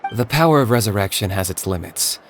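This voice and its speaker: A man playing a calm, serious young warrior who speaks in a measured, matter-of-fact way in a video game. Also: on the low side, heavy background noise. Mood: grave, calm.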